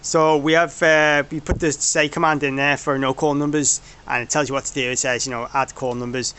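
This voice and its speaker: A man's voice: medium pitch, little pitch movement, fairly quick, bright, forceful tone, a little hiss or hum. A man giving an informal technical walkthrough as a product developer, rambling and correcting himself as he goes. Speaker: product developer presenting a demo.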